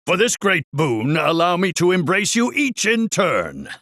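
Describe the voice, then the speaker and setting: An actor playing a bombastic, pompous middle-aged arena owner in a video game, announcing fights in grandiose, florid speech. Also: male voice, high-pitched, sing-song, unhurried, fairly bright tone, heavily acted. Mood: grandiose, sentimental. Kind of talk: theatrical video game voice acting, ringside commentary. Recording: echoing hall, distant reverberant voice.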